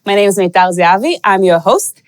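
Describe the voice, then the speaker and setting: A woman hosts an interview podcast. She introduces the show and asks her guest curious, friendly questions. Her voice sits at an ordinary middle pitch for a woman. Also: lively intonation, fairly quick, smooth. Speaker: podcast host.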